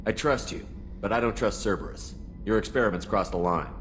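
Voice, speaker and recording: A man playing an earnest, steady starship commander in a video game, speaking in a direct, businesslike way. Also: fairly low pitch, brisk, soft background music, natural voice. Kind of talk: video game character acting.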